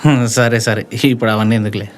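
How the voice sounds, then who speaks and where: A man's voice: lowish voice, fairly even intonation, quick pace, background noise, lightly acted. A man voicing a cocky, sarcastic young college friend in a comedy audio drama, full of schemes and slangy mockery, with music behind. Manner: mocking, slangy banter.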